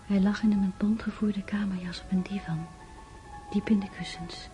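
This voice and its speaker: An actress playing a gentle, grieving sister in a literary radio drama, speaking in a measured, solemn, restrained way. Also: female voice, mid-range voice, fairly even intonation, soft background music, close to an everyday voice. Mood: sorrowful, reflective. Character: Maria, grieving gentle sister of a dying man.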